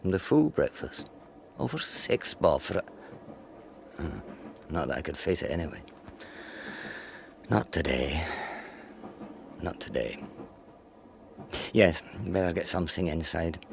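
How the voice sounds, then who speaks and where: A man acting a reserved, gloomy older gentleman in a radio drama. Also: sits low, lively intonation, a little slow, creaky, pauses often, heavily acted. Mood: glum, grumbling. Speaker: actor in radio play. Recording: noisy train ambience behind.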